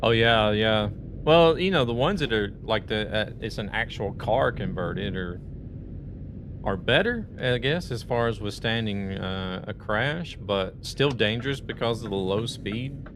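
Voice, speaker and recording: Low-voiced male streamer, chatty. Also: lively intonation, unhurried, noisy background. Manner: rambling, conversational, greets chat viewers. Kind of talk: casual live-stream chat while playing a truck game.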